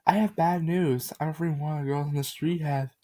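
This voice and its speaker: A man voicing a little girl who earnestly delivers grim news in a halting way. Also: a little high, fairly even intonation, mellow, pitched above the performer's own voice, close to an everyday voice.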